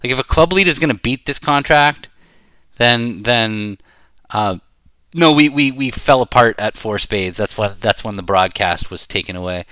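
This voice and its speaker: A middle-aged man giving casual live commentary as he plays a card game, rambling and halting as he thinks aloud. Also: medium pitch, sharp tone, no echo. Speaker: bridge player commentating while playing.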